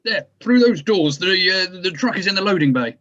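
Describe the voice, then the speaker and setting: A man's voice: sits high, expressive, moderate pace, bright, forceful tone, lo-fi sound. A man playing a mild, learned doctor who speaks formally and hesitantly in a drama table read. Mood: flustered urgency. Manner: hesitant, stammering, wordy and formal. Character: mild, fussy, learned male doctor at the wheel. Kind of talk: table read of a horror screenplay.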